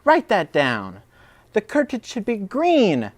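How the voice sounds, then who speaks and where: A man playing a bossy, high-pitched little woodland animal. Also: wildly swooping pitch, slow pace, no room sound, heavily acted. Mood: demanding. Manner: insistent, emphatic. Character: bossy, fussy animal friend, rabbit or squirrel, fretting.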